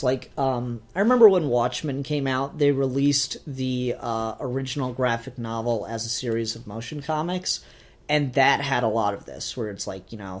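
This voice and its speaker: A man co-hosting a casual review discussion, thinking aloud in a halting way with repeated phrases. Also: mid-range voice. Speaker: podcast co-host reviewing a fan film.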